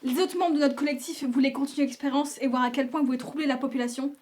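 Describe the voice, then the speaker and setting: High-pitched earnest woman played by an actress. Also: steady tone, fast, darkish tone, lightly acted. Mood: remorseful, earnest. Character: female narrator and remorseful activist. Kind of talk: scripted audio drama acting.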